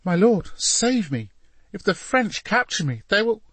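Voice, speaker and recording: A man's voice: on the high side, lively intonation, a little fast, a bit bright, no echo, pitched above the performer's own voice. A man voicing a distraught, imploring noblewoman, a duke's mistress, in an audiobook story. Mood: desperate pleading. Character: distraught pleading mistress of a duke, woman.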